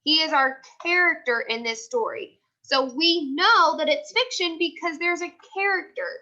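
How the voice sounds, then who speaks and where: Woman, high-pitched, bright forceful tone, online class teacher, question-and-prompt delivery. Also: normal speed, dull audio. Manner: prompting with repeated questions and short commands. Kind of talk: live online class discussion.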